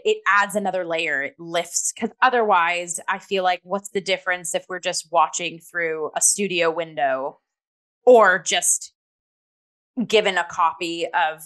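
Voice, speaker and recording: A woman in a casual review discussion, hosting and talking in an animated, emphatic way with many filler "likes". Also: on the low side. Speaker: theatre review show host.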